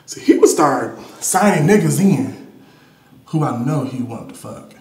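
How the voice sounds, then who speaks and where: A young American man with a fairly high voice tells candid stories to a live audience. His delivery is playful, sassy and full of slang, and the room around him rings with clear echo. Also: very animated, measured pace, darkish tone. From Detroit, USA. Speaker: performance artist. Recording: roomy live gallery recording.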